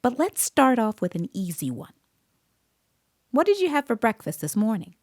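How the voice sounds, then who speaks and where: A woman playing a composed, observant child interviewer, narrating an audio drama in a measured, deliberate way. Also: on the high side, very animated. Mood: gentle, professional. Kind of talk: scripted dramatic first-person narration. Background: musical scoring.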